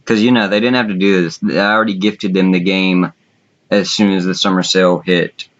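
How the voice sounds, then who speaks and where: A man's voice: sits low, fairly even intonation, moderate pace. An informal man talks off the cuff as a contest co-host. His delivery is blunt and conversational, and the recording is dull and lo-fi. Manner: blunt, informal, swears casually. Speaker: online contest co-host and judge. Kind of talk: casual unscripted chat. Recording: muffled lo-fi voice recording.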